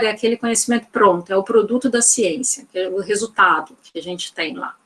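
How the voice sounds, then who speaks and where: A woman's voice: medium pitch, fairly bright tone. A woman with a Northeastern Brazilian accent giving an online physics-education lecture over a video call, talking in a loose, thinking-aloud way full of "né" and "então".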